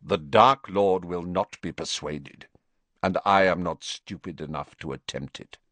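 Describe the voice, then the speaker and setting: A man voicing a cold, guarded professor who speaks flatly and slowly in an audiobook reading. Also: deep voice, dry recording. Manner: flat, slow, measured, icy.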